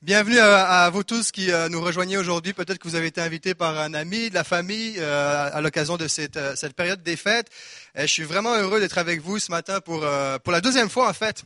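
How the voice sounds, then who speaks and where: A man from Quebec with a Québécois French accent, preaching a lively sermon in a church, full of rhetorical questions and restarts. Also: high-pitched, brisk, no room sound, clean studio sound.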